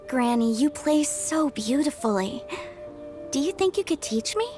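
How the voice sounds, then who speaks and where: A woman's voice: high-pitched, animated, slow, music in the background. A woman voicing an eager young granddaughter in a video game, sweet and coaxing. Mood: admiring. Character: eager young granddaughter, keen music pupil.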